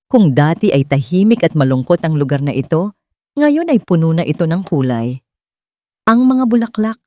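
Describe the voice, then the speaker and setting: A Filipino-accented woman narrates a dramatic love story as a scripted audio tale. Her voice is low and mellow, and it sits dry in the recording with almost no room sound. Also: no echo.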